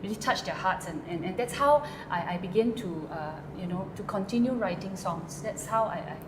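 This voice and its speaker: A woman's voice: medium pitch, lively intonation, moderate pace. Woman, hesitant, lots of fillers, roomy echo, noisy background. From Malaysia. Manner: hesitant, many fillers and restarts. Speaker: guest songwriter. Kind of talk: interview answers.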